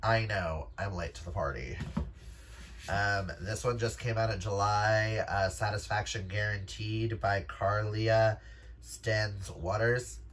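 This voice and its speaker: Man, slightly low pitch, unhurried pace, casual chat, gushing, emphatic, book vlog. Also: fairly even intonation, a bit bright. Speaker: book vlogger. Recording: noisy room background.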